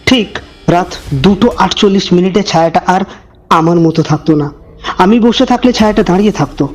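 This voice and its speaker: A man narrating a scripted horror story as host, with deliberate dramatic phrasing and suspenseful pauses. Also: high voice, a little mellow.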